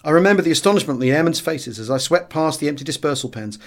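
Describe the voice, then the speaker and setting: A man with a British accent reads a first-person memoir as an audiobook narrator, in a measured, expressive storytelling manner. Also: fairly high pitch. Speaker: audiobook reader.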